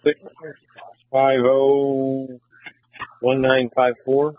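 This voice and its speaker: A man's voice: medium pitch, completely flat delivery, drawn-out words. A man working as a bike patrol officer, making a request over a muffled, narrow-band police radio.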